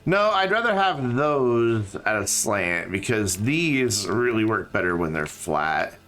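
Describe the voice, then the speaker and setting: A man's voice: fairly low pitch, big pitch swings, takes it slowly, fairly bright tone, faint music behind. A tired man with a slightly scratchy throat, streaming a game and thinking aloud in casual chat with many fillers.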